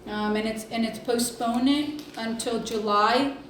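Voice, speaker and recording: Echoey, higher-pitched woman, halting delivery. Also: steady tone. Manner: hesitant, halting delivery with long pauses. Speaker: healthcare district executive reporting to board. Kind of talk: informal spoken report at board meeting. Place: indoor public meeting room. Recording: echoey room, distant mic, faint noise.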